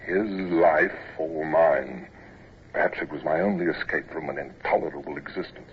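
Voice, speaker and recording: Grown naval officer narrating his youth, measured and reflective, very low voice, lo-fi vintage radio. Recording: dull lo-fi old radio recording with faint hiss.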